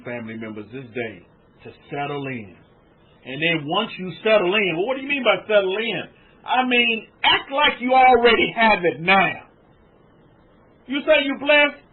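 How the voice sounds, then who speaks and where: Husky high-voiced preacher over a phone line. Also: male voice. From United States. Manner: exhorting, repetitive, addresses listeners as family. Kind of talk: sermon.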